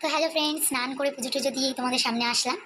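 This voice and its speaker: Woman, high voice, rapid chatty delivery, West Bengal Bengali accent, casual vlog, English words mixed in. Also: fairly even intonation, sharp tone. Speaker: vlogger. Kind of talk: casual vlog talk to viewers. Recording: faint background noise.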